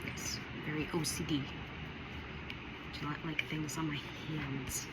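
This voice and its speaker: A woman's voice: sits low, level tone, unhurried. A woman talking casually through a budget makeup tutorial, chatty and rambling with repeated warnings. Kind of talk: casual how-to chat. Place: home, indoors by a window. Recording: loud vehicle noise behind.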